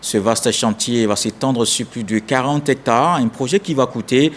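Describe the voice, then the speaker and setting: A man's voice: mid-range voice, moderate pace, a little hiss or hum. A man presenting scripted television news as an anchor, introducing reports.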